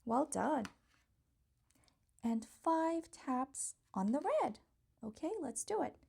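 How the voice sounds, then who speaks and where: A woman's voice: high-pitched, animated, takes it slowly, gravelly, warm tone, breathy edge. A woman doing an interactive picture book read-aloud for young children, coaxing and praising them in a playful way. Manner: encouraging, coaxing, addressing small children directly; playful, exclamatory reactions.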